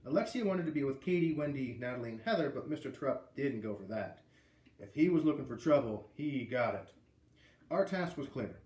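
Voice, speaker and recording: A man's voice: mid-range voice, lively intonation, brisk, a little mellow, roomy sound. A man with an American accent reading a children's book aloud, with casual asides. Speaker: read-aloud reader.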